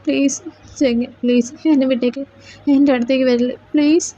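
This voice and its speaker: A woman voicing a frightened young man held captive, who begs and panics in a high voice. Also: fairly even intonation, a little fast, warm tone. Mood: pleading. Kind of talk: Malayalam fan audio drama. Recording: slightly dull lo-fi recording with faint music.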